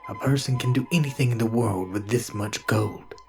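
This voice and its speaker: A man's voice: mid-range voice, moderate pace, darkish tone, natural voice. Man playing a wary outlaw over music. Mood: wary, puzzled. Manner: suspicious, questioning. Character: wary male desert outlaw questioning a sorceress. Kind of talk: audio horror drama acting.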